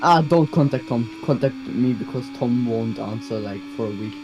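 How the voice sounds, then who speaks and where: A woman's voice: very deep voice, moderate pace, very noisy. A woman co-hosting a racing livestream, chatting casually with laid-back, teasing quips.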